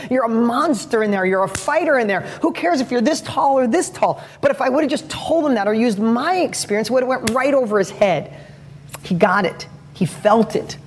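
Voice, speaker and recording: A man with a strikingly high-pitched, light voice talks in an energetic, rambling way, often restarting his sentences. He tells stories with real enthusiasm while he gives motivational advice as a host. A faint hum of background noise sits under him. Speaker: host and father giving advice. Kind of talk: motivational talk with storytelling.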